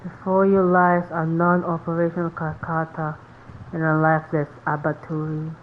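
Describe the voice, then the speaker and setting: A woman reacting casually as she plays a game, in halting, muttered commentary. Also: low-pitched, completely flat delivery, narrow, tinny audio, slight background noise. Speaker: gamer playing and reacting.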